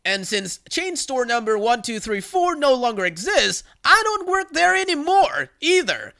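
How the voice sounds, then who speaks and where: A man's voice: very high voice, sing-song, a little slow, gravelly, sharp tone, voice pushed up, heavily acted. A man voicing a defiant, sarcastic store manager, smug and emphatic, in a narrated online story.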